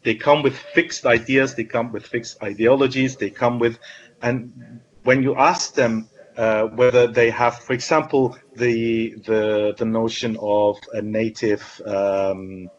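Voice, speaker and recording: Man, mid-pitched voice, earnest, halting repeats, dull call audio. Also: fairly even intonation. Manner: earnest, repeats words as he thinks. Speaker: university English teacher. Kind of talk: panel discussion remarks. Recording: muffled online audio.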